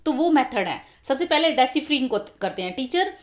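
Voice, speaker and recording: A woman's voice: high-pitched, very animated, moderate pace. A young woman, explaining exam questions step by step, repeating key terms. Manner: explanatory, repeats key terms, mixes English titles into Hindi. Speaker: exam-prep teacher. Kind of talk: explaining exam questions over annotated screen.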